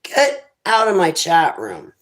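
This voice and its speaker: A woman with a raspy voice chats on her live stream in a loose, wandering way. She takes her time over each thought. Her manner is unhurried and conversational. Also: on the low side, huge pitch swings. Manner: rambling, faith-filled musings. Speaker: internet radio host. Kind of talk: casual livestream chat.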